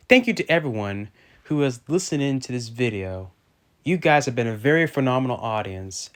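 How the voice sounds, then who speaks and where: A man narrating an informational video from a script in a formal, careful way, occasionally stumbling over a word. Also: medium pitch. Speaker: railfan video host.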